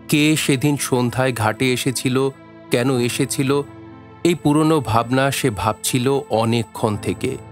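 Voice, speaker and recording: A man narrating a literary story for an audio drama in a measured, dramatic way. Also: mid-range voice. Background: faint music.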